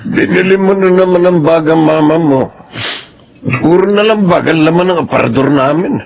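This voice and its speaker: A man's voice: on the high side, normal speed, vocal fry, noisy background. A man playing a good-humoured, affectionate father in a Filipino radio drama, grumbling jokingly. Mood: playful grumbling. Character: good-humoured father, teasing and proud of his child.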